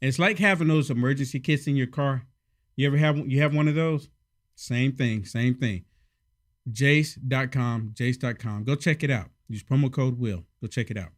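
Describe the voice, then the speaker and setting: A man hosting casual political commentary, sarcastic and emphatic, a little hoarse from a head cold. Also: medium pitch, normal speed.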